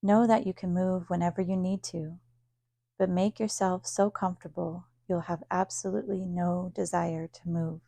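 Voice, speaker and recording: A woman leading a guided yoga nidra meditation as a teacher, speaking in a calm, soothing, unhurried manner with long pauses. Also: on the low side, creaky, no echo.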